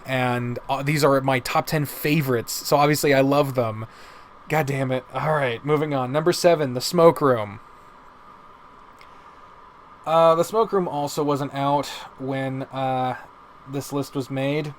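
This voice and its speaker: A man with a mid-pitched voice talks as a casual video reviewer over noticeable background noise. He rambles through his opinions and swears freely and often. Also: fairly even intonation, a little slow. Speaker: video host reviewing visual novels.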